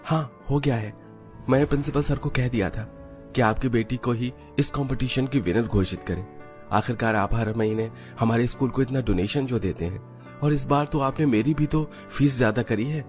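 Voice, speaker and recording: A man's voice: mid-range voice, moderate pace, a little mellow, faint music behind, natural voice. A man voicing an obsequious, corrupt schoolteacher with a fawning, protesting manner in a cartoon story.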